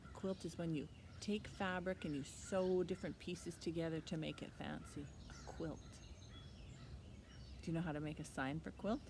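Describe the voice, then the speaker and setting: A woman's voice: deep voice, normal speed. A woman hosting storytime for young children, teaching in a sing-song way with simple questions and repeated key words. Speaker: children's library storytime host. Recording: clear steady background noise.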